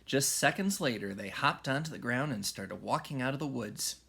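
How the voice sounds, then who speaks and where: A man reading a children's story aloud in an expressive, dramatic way, moving between hushed and raised delivery. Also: medium pitch, moderate pace, vocal fry, breathy edge. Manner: animated, dramatic, with hushed whispers and shouts. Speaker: read-aloud storyteller, music teacher.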